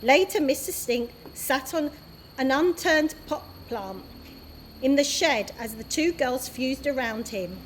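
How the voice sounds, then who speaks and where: A woman, an early years practitioner, reading a children's chapter book aloud in a steady, expressive way. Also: sits high, slow, a little hiss or hum.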